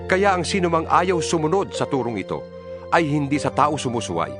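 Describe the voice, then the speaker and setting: A man's voice: a little high, sing-song, fairly quick, music in the background. A man playing an earnest, fatherly apostle exhorting his flock in a dramatized Bible reading. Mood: solemn, exhorting.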